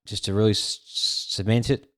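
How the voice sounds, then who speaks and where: A young Australian man in his twenties casually talking through market charts, rambling with drawn-out fillers and a frequent "okay". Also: fairly low pitch, little pitch movement. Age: 20s. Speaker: crypto video host.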